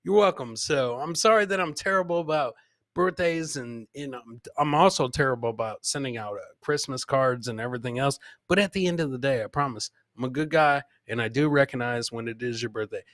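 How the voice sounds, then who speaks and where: A man hosting a live comedy podcast, casually reacting to funny clips in a rambling, jokey, animated way and repeating his catchphrases. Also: medium pitch, fairly quick, no room sound, studio quality.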